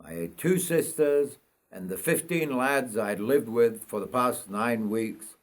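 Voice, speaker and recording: An older man from North Yorkshire narrates his autobiography in a mid-pitched voice. He reads at a slow, measured pace, reflecting as he tells the story. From North Yorkshire, England. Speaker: writer reading own memoir.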